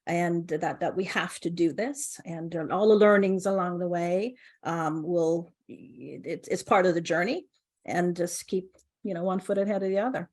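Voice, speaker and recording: Middle-aged Jamaican-accented woman, gravelly, chatty, laughing guest. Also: fairly low pitch, normal speed. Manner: warm, laughing often, many restarts. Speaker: co-founder and guest. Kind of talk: casual interview conversation.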